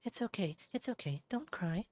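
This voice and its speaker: A narrator voicing a gentle, caring mother fox healer in a visual novel, soft, tender and serious. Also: mid-range voice, lively intonation, moderate pace, pitched above the performer's own voice, natural voice. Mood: sad, soothing.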